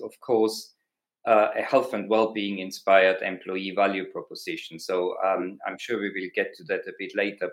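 A middle-aged man with an Austrian accent speaks in a lowish voice. He talks as a podcast guest, thoughtful and passionate, with plenty of ums and uhs as he builds his ideas. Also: fairly bright tone. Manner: passionate, reflective, with frequent fillers. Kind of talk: conversational interview.

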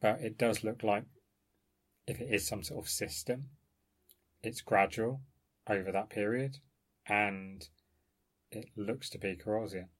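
A man with a fairly low voice speaks as a researcher in an informal academic discussion. He explains things discursively, qualifying his points as he goes. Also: a little slow, long pauses.

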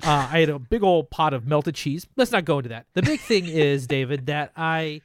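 A man in his thirties co-hosting a podcast, excitable and joking in casual chat, calling his friend "dude" a lot. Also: on the high side, moderate pace, a little hiss or hum. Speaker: podcast co-host.

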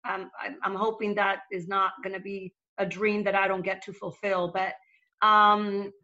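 A woman's voice: medium pitch, flat delivery, moderate pace, pushed and bright. A middle-aged woman from Venezuela, speaking earnestly as a panel guest and repeating words as she starts sentences. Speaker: guest, lender to small businesses. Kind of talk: panel discussion. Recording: dull lo-fi sound.

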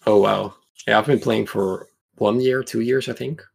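Gravelly, husky man in his mid-twenties. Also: lowish voice, fairly even intonation, moderate pace. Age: mid-20s. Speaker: podcast guest, competitive card game player. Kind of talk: casual chat, personal anecdotes.

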